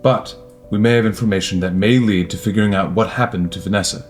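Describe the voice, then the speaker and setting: A man's voice: lowish voice, fast talker, faint music behind, voice pushed up, close to an everyday voice. A man playing a placating police detective who questions formally in a script read. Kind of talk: screenplay table read.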